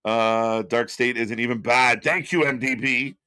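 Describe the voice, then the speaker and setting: A man's voice: medium pitch, steady tone, unhurried, bright, forceful tone, clean tone, no room sound, studio quality. A man co-hosting a live wrestling watch-along stream, wisecracking, laughing often and swearing. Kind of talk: casual live-stream commentary.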